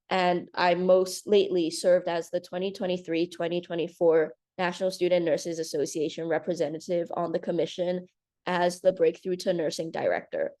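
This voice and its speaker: A woman's voice: lowish voice, warm tone. A young woman in her twenties from Hong Kong, a new nursing graduate giving a slide presentation with many ums. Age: 20s. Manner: earnest, nervous, with frequent ums. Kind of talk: presentation over video call.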